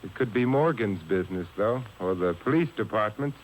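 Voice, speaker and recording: A man acting as an unflappable American private eye from a 1950s radio drama. His very low voice swings lazily through dry, teasing understatement. The old broadcast tape carries a faint hiss. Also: normal speed, natural voice, poor audio. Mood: sardonic, controlled. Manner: languid, deadpan, teasing understatement. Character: wry, unflappable male detective, lazily sardonic.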